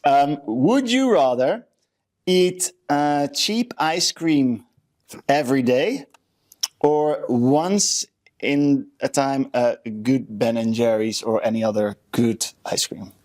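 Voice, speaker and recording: A man with a Dutch accent talks in an average-pitched voice. He co-hosts a podcast in a casual, chatty style and is happy to be self-deprecating. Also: slow pace, a little mellow. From Netherlands. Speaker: podcast co-host. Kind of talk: casual chat.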